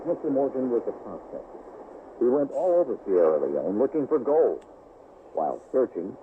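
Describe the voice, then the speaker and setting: Guy reading a sermon story on shortwave, tinny like a phone, pitch moves around, static behind. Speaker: religious radio devotional reader. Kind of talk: scripted devotional narration. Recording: noisy shortwave radio broadcast, phone-like band. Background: clear radio static.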